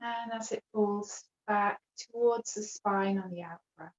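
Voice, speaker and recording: A woman teaching yoga, guiding a relaxation practice with gentle, unhurried phrasing and long pauses. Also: medium pitch, reverberant. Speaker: yoga teacher leading a guided relaxation class. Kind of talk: guided meditation instruction. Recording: dull, lo-fi video call sound.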